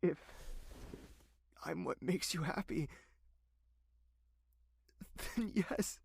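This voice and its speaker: A remorseful nobleman, gravelly and soft. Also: fairly high pitch, exaggerated voice. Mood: bewildered. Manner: soft, slow and earnest. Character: tender remorseful nobleman in love. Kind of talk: audio drama acting.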